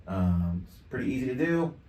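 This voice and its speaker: A man in his fifties giving a casual software tutorial, thinking aloud in an offhand, mildly profane way. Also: medium pitch, very animated, moderate pace. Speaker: tutorial presenter. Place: indoor home studio desk. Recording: roomy, distant mic, background noise.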